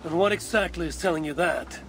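A woman's voice: lowish voice, brisk, fairly bright tone. An actress playing a blunt, suspicious and proud Viking warrior woman in a video game. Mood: wary. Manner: blunt, curt, challenging. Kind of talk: video game cutscene acting. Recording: game audio with faint music. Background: game soundtrack music.

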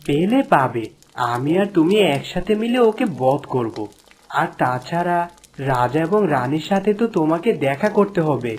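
A woman's voice: low-pitched, lively intonation, normal speed, a little mellow, a little hiss or hum. A woman voicing a kindly, brave fisher companion in a cartoon fairy tale, caring and reassuring. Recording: music behind the voice.

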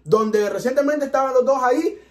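A young man from Honduras with a Honduran Spanish accent, chatting excitedly as he reacts to a music video in a small room. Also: very high-pitched, fairly quick. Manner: chatty, excitable, animated with hand gestures. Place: small indoor room in front of a TV.

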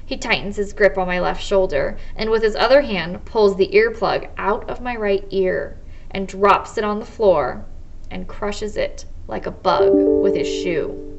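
An American woman in her twenties, a teacher reading a children's novel aloud in measured first-person narration. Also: medium pitch, vocal fry, highs cut off, over music. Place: small indoor office or study.

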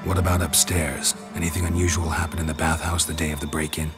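An actor playing a stoic, laconic monster hunter in a video game, terse and deadpan with dry humor. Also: male voice, low voice, fast, over music, natural voice. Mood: cool, inquiring. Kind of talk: video game dialogue acting.

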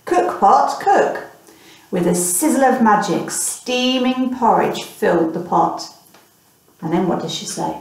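A woman's voice: mid-range voice, animated, a little slow, creaky. A woman in her thirties reading a picture book aloud to a child, as a teacher and mother would. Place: small plain room indoors. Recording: very echoey room, distant microphone.

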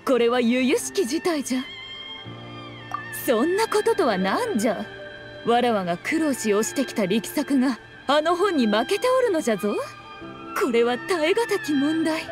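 A woman's voice: high-pitched, big pitch swings, normal speed, over music. A woman voicing a proud, sly, ageless fox-spirit shrine maiden in an anime game, with haughty, old-fashioned speech. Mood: indignant.

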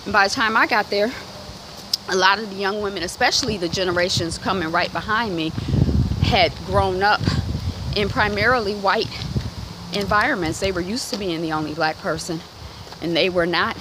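Woman, 30s, Detroit accent, vlogger, walking outdoors, phone at arm's length, clear street noise. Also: moderate pace. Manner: animated, acts out quoted voices with big pitch jumps. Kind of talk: walk-and-talk vlog chat. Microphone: phone held at arm's length.

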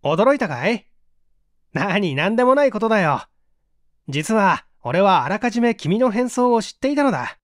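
A man's voice: high voice, very animated, moderate pace, no echo, studio quality. A man voicing a smug, teasing amateur detective who boastfully explains his tricks in a mystery audiobook. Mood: smug, explanatory. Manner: taunting, self-satisfied, explanatory. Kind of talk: dramatic audiobook reading of a mystery story.

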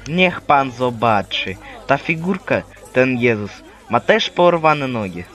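A man playing a poor, humble friar in an animated religious play, speaking earnestly and plainly. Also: mid-range voice, slow pace, soft background music. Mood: disparaging.

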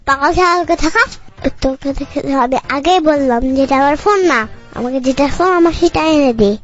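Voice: sits high, normal speed, close to an everyday voice. A performer voicing a feisty, bossy little girl with a childlike voice, telling a tall tale in a cartoon in a sassy, easily annoyed way. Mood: cross, scolding. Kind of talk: animated cartoon storytelling. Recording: dull lo-fi audio under music. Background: music.

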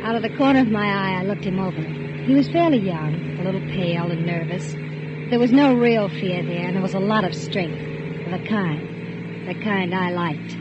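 An actress playing a calculating femme fatale in a 1940s radio drama, narrating and speaking in a measured, coolly probing way. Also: female voice, mid-range voice, close to an everyday voice. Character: cool, calculating woman driver, scheming femme fatale. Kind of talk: 1940s radio acting and first-person narration. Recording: muffled old radio broadcast, loud hiss.

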